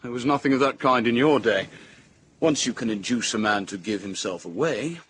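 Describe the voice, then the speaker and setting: An actor playing a brisk, condescending modern police inspector with a clipped, self-satisfied British voice, in a radio drama. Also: male voice, mid-range voice, a bit bright, a little hiss or hum, natural voice. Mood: smug.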